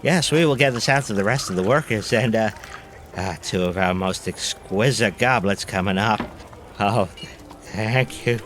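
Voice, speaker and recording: An actor playing an eager, hearty, effusive merchant in a fantasy audio drama. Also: male voice, fairly low pitch, moderate pace, a bit bright, a little hiss or hum, close to an everyday voice. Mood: eager, grateful.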